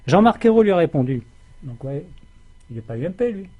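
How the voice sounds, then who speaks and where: Husky, mellow-voiced male radio co-host. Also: fairly high pitch, very animated, fairly quick. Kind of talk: political radio talk show commentary.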